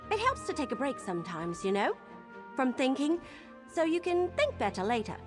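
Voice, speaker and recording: A scatterbrained young adventurer woman talks in a high, swooping voice. She is breezy, bubbly and friendly, a fantasy game companion with music playing behind her. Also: very animated, normal speed. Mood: cheerful, eager.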